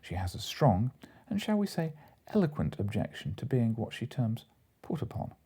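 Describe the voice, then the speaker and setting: Pompous husky gentleman, read by a man. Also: mid-range voice, big pitch swings, moderate pace. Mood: pompous, courteous. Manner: pompous, elaborately polite. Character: mock-courteous gentleman storyteller, grandiloquent and wily. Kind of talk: audiobook character voice.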